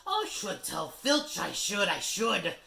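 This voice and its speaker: Very high shrill poltergeist, cackling sing-song taunts, loud and wild, roomy recording. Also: male voice, huge pitch swings, takes it slowly, vocal fry, heavily acted. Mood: gleeful taunting. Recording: roomy with faint hiss.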